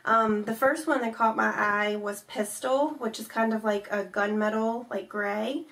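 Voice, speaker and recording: A young woman whose fairly high voice carries a slight rasp from a cold. She chats easily and in detail about makeup as a vlog co-host. She sits a little back from the microphone. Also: steady tone, unhurried, fairly bright tone, distant mic. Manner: chatty, detailed product talk. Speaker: beauty vlogger co-host. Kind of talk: casual chat reviewing makeup.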